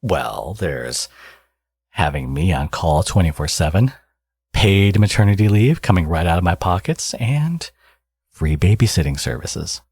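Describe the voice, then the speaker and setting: A man playing a smug, teasing villain with a secretly caring side in an ASMR audio roleplay, speaking casually and sardonically with a husky voice. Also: low voice, very animated, a little slow, a little mellow, dry recording, clean studio sound, heavily acted. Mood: smug, coaxing.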